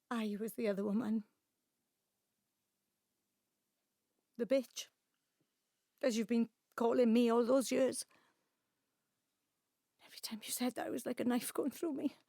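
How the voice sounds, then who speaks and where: A woman's voice: fairly high pitch, steady tone, normal speed, gravelly, pauses often, warm tone, no room sound, studio quality. A woman playing a guilt-ridden, defensive adult daughter with a pleading, distraught manner in an audio drama. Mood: bitter, ashamed. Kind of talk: emotional audio drama dialogue.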